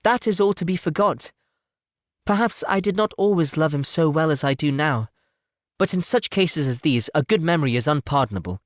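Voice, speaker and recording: A man voicing a spirited, self-assured young gentlewoman in an audiobook, wry, earnest and articulate. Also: fairly high pitch, lively intonation, normal speed, no echo, studio quality, pitched above the performer's own voice, lightly acted. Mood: wryly insistent. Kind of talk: audiobook character voice.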